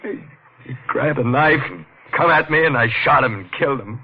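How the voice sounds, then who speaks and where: An actor playing a guilty police detective with a halting, haunted delivery, in the style of a 1940s radio thriller. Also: male voice, mid-range voice, expressive, natural voice. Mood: nervous, shaken. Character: guilty police detective, a wife-killer facing execution. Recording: old radio recording, dull, music behind.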